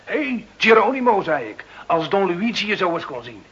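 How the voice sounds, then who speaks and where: Brash, excitable village father, bright high-pitched voice. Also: moderate pace, husky, exaggerated voice. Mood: mocking. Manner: mocking, wheedling, theatrical. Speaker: actor in a radio play. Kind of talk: Dutch radio drama acting. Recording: dull, hissy old radio recording.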